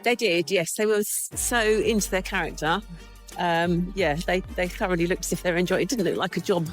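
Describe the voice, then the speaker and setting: A woman chatting as the host's sister, croaky from a cold and a little hesitant, with fillers. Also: fairly low pitch, a little fast, faint music behind, low-quality recording.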